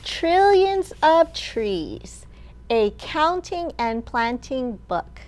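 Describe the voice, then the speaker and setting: A woman librarian hosting a children's storytime, reading aloud in simple, deliberate phrases meant for young children. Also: high-pitched, very animated, darkish tone, slight background noise.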